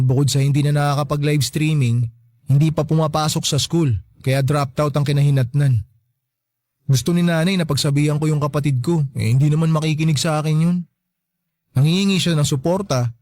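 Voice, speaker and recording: A man's voice: fairly high pitch, steady tone, a little fast, darkish tone, no room sound, natural voice. A young man playing a resentful, neglected grown son in a radio drama, plaintive and reproachful but respectful. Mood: resigned, exasperated. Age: young adult. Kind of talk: emotional radio drama acting.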